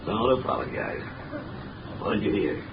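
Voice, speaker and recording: A man's voice: fairly low pitch, very animated, normal speed, vocal fry. An actor playing a brusque, impatient male police commissioner in a 1930s radio drama. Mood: stern. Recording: muffled, noisy, echoey 1930s radio recording from a distance.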